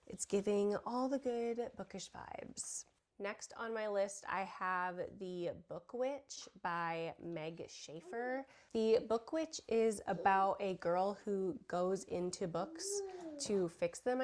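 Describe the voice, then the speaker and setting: A woman's voice: medium pitch, darkish tone. A young woman with a cold talks about books in a casual chat at home. She fills her talk with "like" and "um" and sniffles as she goes. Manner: chatty, filler-heavy, "like" and "um". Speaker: book vlogger. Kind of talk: casual chat while crafting. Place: home room with children nearby.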